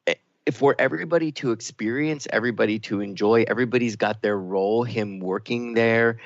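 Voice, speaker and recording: A man's voice: medium pitch, a little fast, no echo. A man co-hosting a reflective talk radio show, speaking in an affirming, expansive, emphatic way. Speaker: radio co-host. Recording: thin phone-like sound, very dry.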